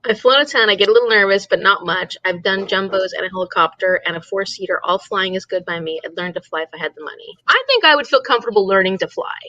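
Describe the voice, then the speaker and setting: A woman's voice: mid-range voice, fast, few pauses, pushed and bright, dry recording, muffled recording. A woman co-hosting an online reading livestream, chatting casually and repeating herself for emphasis. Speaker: co-host of online reading sprints.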